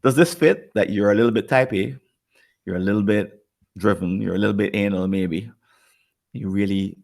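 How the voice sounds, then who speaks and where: A man with a Jamaican accent and a very low, gravelly voice, chatting on a podcast. He rambles and doubles back on his words as he works out a point. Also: darkish tone. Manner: rambling, repeats words while thinking, acts out quoted people. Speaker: podcast co-host. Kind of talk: casual podcast conversation.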